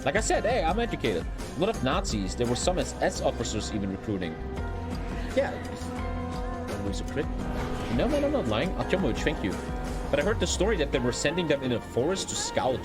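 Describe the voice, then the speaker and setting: A man's voice: on the high side, big pitch swings, brisk. A man with a German accent chatting casually as a live streamer, rambling, blunt and heavily sweary. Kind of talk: casual livestream chat over gameplay. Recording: loud music behind the voice. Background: loud music.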